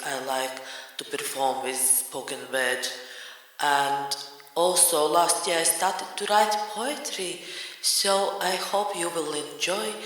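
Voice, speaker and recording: A woman with a Latvian accent speaks in a low voice, slowly and in halting phrases. The room around her is a very echoey hall with a long ringing reverb. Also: bright, forceful tone. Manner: friendly, slow, halting phrasing. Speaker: storyteller and poet. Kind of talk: spoken-word introduction.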